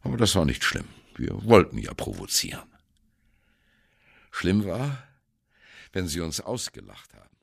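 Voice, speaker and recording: An actor playing a wry, self-doubting ageing jazz drummer, telling his memories drily in a radio play monologue, with a gravelly, husky voice. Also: sits low, wildly swooping pitch, measured pace, pauses often, exaggerated voice. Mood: dry, reminiscing. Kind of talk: radio play monologue, first-person narration.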